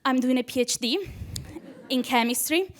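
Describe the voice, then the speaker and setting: A woman's voice: high voice, normal speed. A young woman with an Italian accent doing a nervous, chatty stand-up comedy set on a club stage, laughing at her own jokes. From Italy. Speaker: PhD student doing stand-up.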